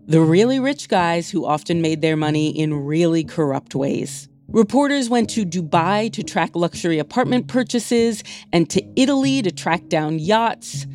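A woman hosting a news podcast, reading a scripted introduction in a crisp, measured, conversational way. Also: on the low side, darkish tone. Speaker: podcast host. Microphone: studio microphone.

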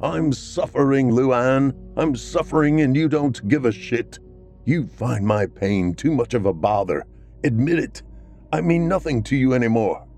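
A man's voice: medium pitch, expressive, measured pace, a little mellow, faint music behind, pitched above the performer's own voice. A man voicing a brooding, self-pitying vampire man three centuries old, melodramatic and anguished. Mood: bitter.

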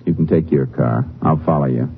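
An actor playing a calm, methodical Texas Ranger who investigates and narrates in a terse, probing manner in a 1950s radio drama. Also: male voice, very deep voice, a little fast, faint music behind. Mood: firm, dry. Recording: muffled old radio recording.

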